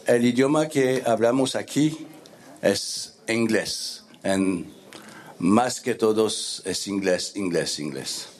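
A man with a French accent addressing a meeting, halting and searching for words as he goes. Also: fairly low pitch. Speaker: board member speaking at a meeting. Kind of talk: meeting remarks. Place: meeting room.

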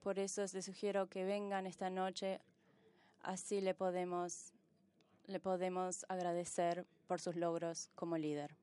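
Female conference interpreter, lowish and bright, phrasing in fragments with self-corrections. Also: flat delivery, measured pace, clean tone. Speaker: simultaneous interpreter. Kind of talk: live interpretation of conference speeches.

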